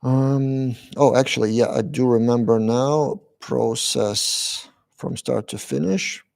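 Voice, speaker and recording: A man hosts a live-stream Q&A, reading viewers' questions aloud and answering them briefly. His voice is dark and gravelly, with frequent "uh" pauses between the questions and his answers. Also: medium pitch, fairly even intonation. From Lithuania. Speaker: channel host answering live chat.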